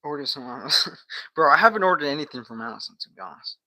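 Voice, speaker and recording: Bright, punchy man chatting on a livestream. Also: on the high side, animated, quick pace, dry recording, rough recording. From Guatemala. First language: Spanish. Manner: slangy, bro-heavy, swearing. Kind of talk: casual livestream chat.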